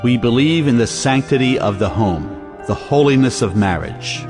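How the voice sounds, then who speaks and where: Man playing self-righteous household patriarch, pompous, measured, medium-pitched, period stage comedy, audio play. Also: animated, over music, close to an everyday voice. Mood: pompous, commanding. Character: solemn, self-righteous male head of a household.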